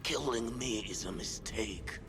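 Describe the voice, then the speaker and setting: An actor playing a cornered, scheming villain in a video game, menacing and self-justifying. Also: male voice, on the low side, a little slow, fairly bright tone, soft background music. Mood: cold pleading. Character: cornered, scheming male villain, bargaining coldly. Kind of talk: dramatic video game acting.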